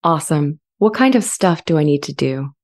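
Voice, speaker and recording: A woman playing an earnest, friendly, self-aware artificial intelligence in a scripted dramatization, speaking in a measured, articulate way. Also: deep voice, lively intonation. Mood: friendly, eager. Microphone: studio condenser mic with pop filter, close.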